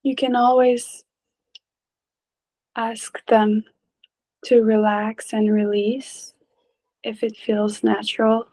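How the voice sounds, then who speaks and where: A woman leading a guided meditation in a gentle, calm, unhurried way, leaving long pauses between short phrases. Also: fairly high pitch, low-quality recording. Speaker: meditation teacher.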